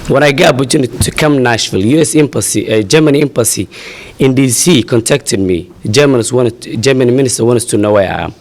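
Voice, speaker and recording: A young man from Somalia, a refugee advocate, gives a halting, earnest statement at a press conference, full of pauses and fillers. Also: mid-range voice. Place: outdoor press event.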